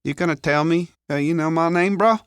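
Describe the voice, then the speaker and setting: A man's voice: on the high side, a little fast, clean tone, no room sound, studio quality, voice pushed up, lightly acted. A man voicing a wary, loyal young street lad in an audiobook thriller. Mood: cautious, probing.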